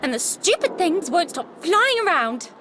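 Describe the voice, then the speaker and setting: High-pitched, lovesick British schoolgirl. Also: sing-song, a little slow, background noise, heavily acted. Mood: exasperated. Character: lovesick, clingy teenage schoolgirl, easily exasperated. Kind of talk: video game voice acting.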